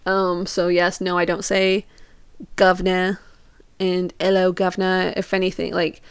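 Casual podcast storyteller: young woman, clean smooth tone, dry sound, informal and conversational. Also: lowish voice, completely flat delivery, unhurried, no room sound. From Portugal and England. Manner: informal, peppered with "like", acts out quoted questions.

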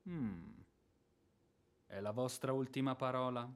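An actor playing a blustering, hot-tempered landowner and former officer in a radio drama, emphatic, sarcastic and grumbling. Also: male voice, mid-range voice, takes it slowly, close to an everyday voice. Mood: tense, insistent. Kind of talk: theatrical radio drama acting.

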